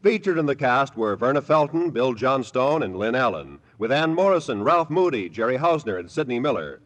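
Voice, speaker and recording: A man with an American accent announcing in a formal, measured mid-century network radio style. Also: mid-range voice, very animated. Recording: vintage radio broadcast with music.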